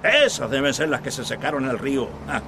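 A man's voice: medium pitch, big pitch swings, fast talker, gravelly, noisy background. An actor playing a skeptical, stubborn, world-weary village father in a radio drama. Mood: dismissive.

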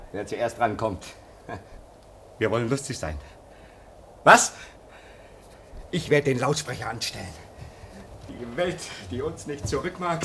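An actor playing a brooding, bitterly jovial man at a polar station in a German radio play, halting and theatrical with sudden outbursts. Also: mid-range voice, a bit bright, slight background noise.

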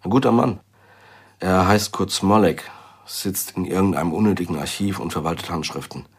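Intelligence client, low husky voice, guarded, deliberate, businesslike, radio crime drama. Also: male voice. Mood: measured, guarded.

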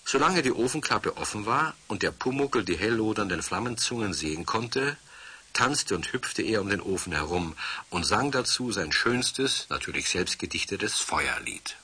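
A man playing the storyteller of a children's radio play, husky, narrating in a measured and gently wry way. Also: fairly low pitch, lively intonation, heavily acted. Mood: wry storytelling.

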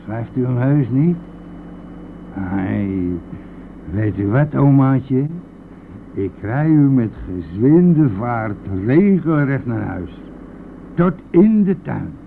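Radio play role: evasive, cajoling bus driver, creaky voice, dismissive bluster; thin old-recording sound. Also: male voice, mid-range voice, expressive, drawn-out words, pauses often, narrow, tinny audio, slight background noise, heavily acted. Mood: wheedling. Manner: blustering, offhand, wheedling.

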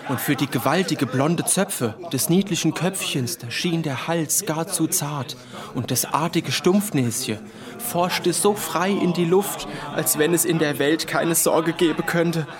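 An actor playing a vain, melodramatic young eighteenth-century poet in a radio drama, with pompous, florid, old-fashioned diction. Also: male voice, on the high side, a little slow, a crowd in the background, natural voice. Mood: lovestruck rapture. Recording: loud outdoor ambience, running water behind voice.

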